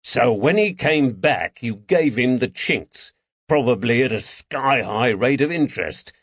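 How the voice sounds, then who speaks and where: A man voicing a gruff, furious police constable who accuses and interrogates in an audiobook. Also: medium pitch, expressive, unhurried, a bit bright, no echo, muffled recording, exaggerated voice. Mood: stern, probing. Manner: stern, accusing, barking. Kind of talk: audiobook character acting.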